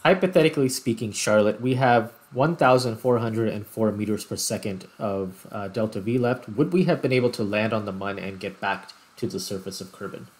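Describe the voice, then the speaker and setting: A man's voice: lowish voice, brisk, few pauses. A man giving casual gameplay commentary, thinking aloud as he plays. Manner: thinks aloud while playing. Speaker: gaming video host.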